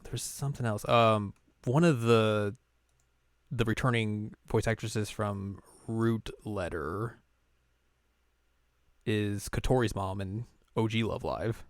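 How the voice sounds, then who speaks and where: Lowish voice guy chatting on a podcast, rambly, laughs a lot, dry sound. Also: slow, no echo. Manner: rambling, laughs often, many fillers. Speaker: podcast co-host. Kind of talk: casual chat.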